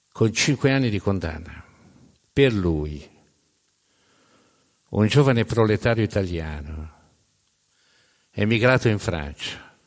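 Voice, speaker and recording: A man narrating a scripted historical story in a deliberate, dramatic way, with emphatic pauses. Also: lowish voice. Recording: long hall reverb.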